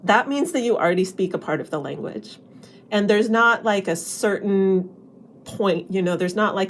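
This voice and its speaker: A woman's voice: fairly low pitch, a little hiss or hum. A woman linguistics professor explaining ideas conversationally to children, with casual fillers. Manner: chatty, with fillers.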